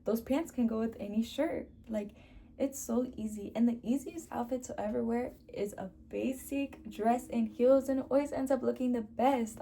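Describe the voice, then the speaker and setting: Chatty young woman with a gravelly voice. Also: on the high side, warm tone, slight background noise. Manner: chatty, sprinkles in lots of "like". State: sore throat, losing her voice. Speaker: beauty vlogger. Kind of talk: casual chat to camera.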